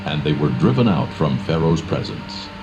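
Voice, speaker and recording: A man's voice: extremely low pitch, sing-song, moderate pace, lo-fi sound. A man giving a solemn, dramatised scripture narration. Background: prominent background music.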